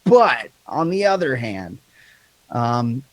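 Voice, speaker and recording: A man with a higher-pitched voice and a slight lisp, talking candidly and rambling in a casual podcast chat, swearing freely. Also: sing-song, slow pace, no room sound, low-quality recording.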